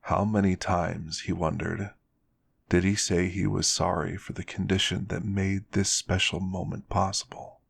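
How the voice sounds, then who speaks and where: A man's voice: low voice, little pitch movement, a little slow, creaky, husky. A man narrating a scripted story in an ASMR style.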